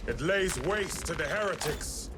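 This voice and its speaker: Higher-pitched zealous space soldier. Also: male voice, animated, a little slow, noisy background. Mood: stern scorn. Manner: severe, pious, formal. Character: zealous, scornful male space-soldier battle-brother. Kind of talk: video game cutscene acting.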